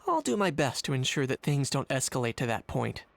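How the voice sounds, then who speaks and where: A man's voice: mid-range voice, very animated, fairly quick, creaky. A man voicing a young, pragmatic investigator in a video game, dry and matter-of-fact. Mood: calm, determined. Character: cool, pragmatic young male investigator, dryly understated. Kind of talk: video game dialogue acting. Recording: game music behind the voice. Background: background music.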